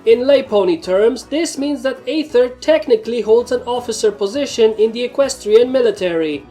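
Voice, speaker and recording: A woman's voice: a little high, animated, moderate pace, dark tone, faint music behind, pitched above the performer's own voice, natural voice. A woman voicing a formal, dutiful royal guard pony in a story reading, stiff and official. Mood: formal, proclaiming.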